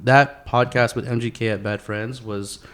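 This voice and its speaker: A man's voice: mid-range voice, fairly even intonation, moderate pace, fairly bright tone. Male podcast host, mid-range voice, informal and slangy, loose conversational delivery with plenty of bro and dude. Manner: profane, slangy, says bro, dude and man often. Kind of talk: casual podcast chat.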